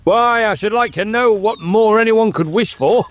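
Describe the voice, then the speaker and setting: Male reader as storybook king, imperious, short-tempered, scolding, raised pitch, very animated. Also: moderate pace, no echo, exaggerated voice. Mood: condescending. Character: stern, bullying adult king and uncle, short-tempered. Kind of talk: dramatic storybook character reading.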